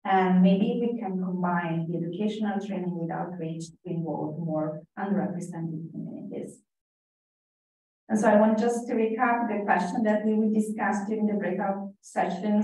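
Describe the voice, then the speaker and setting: Brief: earnest woman presenter, low and mellow voice, taken from across a big echoing room. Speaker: working group presenter. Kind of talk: conference presentation. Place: conference room. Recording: echoey hall from far off.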